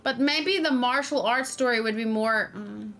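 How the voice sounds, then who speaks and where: High-voiced woman chatting over a game. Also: moderate pace, soft background music. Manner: chatty, thinks out loud, reacts expressively. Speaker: let's play streamer. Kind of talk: casual gameplay commentary, reading game text aloud.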